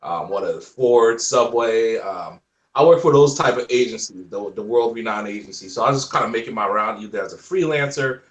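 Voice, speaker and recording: A man in his forties from Haiti with a light Haitian accent, chatting as a guest in a relaxed, rambling way. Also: on the low side, a little fast, roomy sound, recorded from a distance, dull audio. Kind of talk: casual chat.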